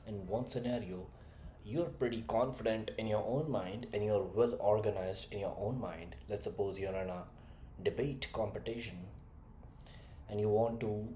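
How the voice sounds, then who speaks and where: Young man from India, non-native English, blunt lecturing tone, low voice, echoey room, faint background hiss. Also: a little mellow. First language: not English; English is his third language. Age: 20s. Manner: blunt, lecturing, rhetorical questions. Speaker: communication skills coach. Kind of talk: direct-to-camera motivational talk.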